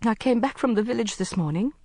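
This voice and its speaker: Female narrator does a teary, high-strung young woman, pitched way up, dry close audiobook sound. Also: big pitch swings, normal speed, dry recording. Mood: tearful. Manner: high, tearful, pleading. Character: distressed young woman, emotional and restless.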